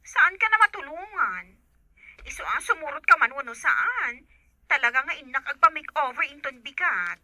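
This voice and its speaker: A woman's voice: sits high, sing-song, normal speed, bright, forceful tone, dry recording, heavily acted. An actress playing a chatty, dramatic girlfriend on the phone. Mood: gushing.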